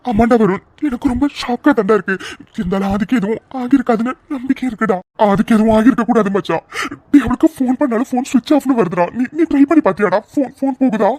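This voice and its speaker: A woman's voice: on the high side, warm tone, dry recording, close to an everyday voice. A woman voicing a worried, loyal young man, earnest and anxious, in a melodramatic audio serial.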